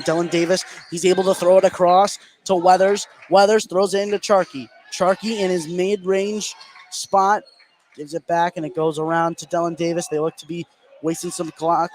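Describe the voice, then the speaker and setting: A man's voice: on the high side, slight background noise. An American man giving live play-by-play commentary as a basketball announcer.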